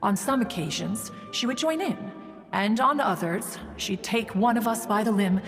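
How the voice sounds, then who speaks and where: A woman's voice: on the high side, expressive, moderate pace. A woman playing a proud, wistful ancient female immortal in a game, speaking in formal, archaic, pompous phrases. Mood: haughty, fond. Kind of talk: scripted game dialogue, storytelling. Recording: long hall reverb, music behind. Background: music.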